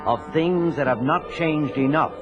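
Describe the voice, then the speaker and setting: A man's voice: on the high side, a little slow. Dramatic announcer guy, dull old recording, brassy music behind him. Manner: portentous. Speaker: announcer narrator. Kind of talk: dramatic scripted introduction, audio drama.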